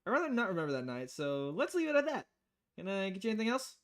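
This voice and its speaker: A woman's voice: mid-range voice, big pitch swings, fast, pitched above the performer's own voice, natural voice. A woman voicing a polite, chatty young female bartender in a visual novel, with a courteous lilt. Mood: chirpy, polite.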